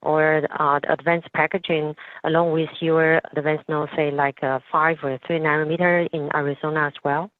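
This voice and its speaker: A woman, a financial analyst, asking questions politely and haltingly on an earnings call over the phone. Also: sits low, flat delivery, no room sound. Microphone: telephone. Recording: dry, narrow phone-line sound.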